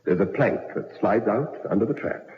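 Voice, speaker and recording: An actor playing a stern major, commanding and accusatory, in an old radio drama. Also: male voice, on the low side, normal speed, lightly acted. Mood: accusing. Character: stern, insistent male major interrogating suspects. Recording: muffled, echoey old radio recording.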